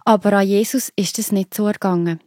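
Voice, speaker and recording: A woman's voice: mid-range voice, steady tone, brisk, warm tone, no echo, studio quality. A woman with a Swiss German accent telling a story to children, explaining it simply and expressively. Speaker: narrator. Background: music.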